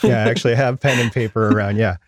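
A man's voice: medium pitch, steady tone, fast, no room sound, studio quality. Guy hosting a podcast interview, casual chat, mid-range voice, no room sound at all.

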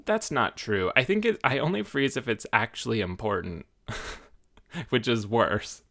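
Man with a gravelly, creaky voice. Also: mid-range voice, animated, a little slow, fairly bright tone. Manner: self-deprecating, rambling. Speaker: podcast co-host. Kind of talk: casual chat.

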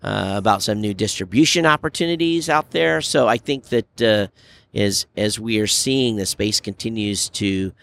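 A man hosting a podcast in a casual, chatty style, with halting phrasing. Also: fairly low pitch, vocal fry, fairly bright tone. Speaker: podcast host. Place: booth in a very large trade show hall. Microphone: podcast microphone.

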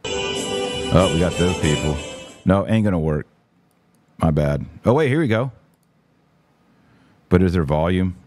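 A man's voice: deep voice, lively intonation, measured pace, long pauses, a little mellow, soft background music. A man hosting a podcast, giving casual, emphatic and opinionated livestream commentary with informal slang. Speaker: podcast host.

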